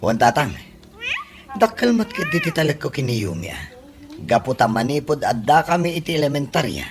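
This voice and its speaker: A man's voice: medium pitch, sing-song, brisk, a crowd in the background, lightly acted. Man acting as a swooping, protective Filipino big brother. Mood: earnest, insistent. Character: protective, generous older brother supporting his sister. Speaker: radio drama actor. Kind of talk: Ilocano radio comedy drama acting. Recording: clear background ambience and noise.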